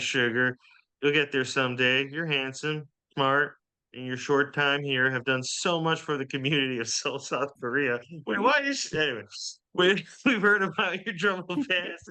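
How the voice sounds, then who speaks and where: A kindly, flirty diner waitress voiced by a man in a clear, bright voice. She is motherly, folksy and encouraging, in a comedy table read. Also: a little high, lively intonation, normal speed. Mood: sweet, encouraging.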